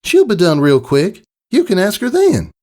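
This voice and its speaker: A man's voice: high voice, wildly swooping pitch, moderate pace, darkish tone, voice pushed up. A man voicing an eager, friendly young country filly with an American Southern accent and a folksy way of exclaiming, as in a storybook reading. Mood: encouraging. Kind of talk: storybook character voice.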